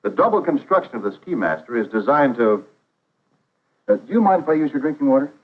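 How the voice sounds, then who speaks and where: Guy acting as polite 50s salesman making his pitch, earnest and confident, bouncy intonation, muffled old film audio. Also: sing-song, fairly quick, close to an everyday voice. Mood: reassuring. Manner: courteous, confident sales patter. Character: earnest, polite, persuasive travelling salesman, adult man. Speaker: actor in dramatized training film. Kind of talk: 1950s instructional film acting. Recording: dull vintage 1950s film soundtrack.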